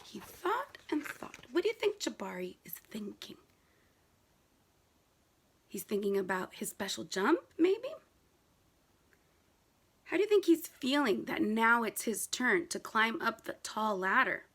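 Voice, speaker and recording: A woman reads a storybook aloud to young children in a high-pitched, bright voice. She is animated and interactive, putting questions to her listeners and repeating words for drama. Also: unhurried, pauses often, husky. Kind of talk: animated, interactive picture-book read-aloud.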